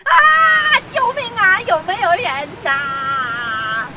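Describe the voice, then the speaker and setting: A woman's voice: very high voice, lively intonation, very slow, raised voice, voice pushed up, heavily acted, poor audio. A woman voicing a small, hapless toy soldier in a children's audio story, plaintive, wailing and easily frightened. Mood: panicked. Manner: whiny, wailing, dramatic.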